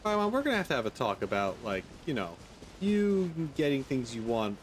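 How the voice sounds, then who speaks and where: A man's voice: medium pitch, sing-song, brisk, a little hiss or hum. A man doing casual let's play commentary as a gaming streamer, with a sceptical, sarcastic and blunt manner.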